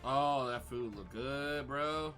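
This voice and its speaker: A man's voice: mid-range voice, slow, a bit bright, soft background music. A man giving casual, slangy live commentary with wry reactions while streaming a video game.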